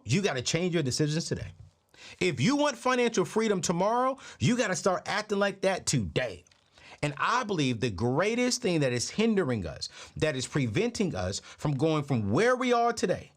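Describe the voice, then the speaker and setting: A man in his late thirties hosting a personal finance show, giving a motivational talk with a preachy, energetic delivery. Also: high-pitched. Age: 30s. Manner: preachy, energetic, repeats phrases. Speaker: podcast host.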